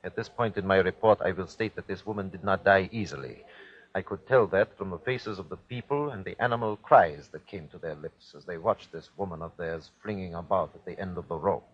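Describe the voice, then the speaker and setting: An actor playing a ruthless, fanatical German army captain with a commanding, clipped delivery in an old-time radio drama. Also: male voice, low-pitched, fairly quick, husky. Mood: cold, reporting. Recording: dull vintage radio recording.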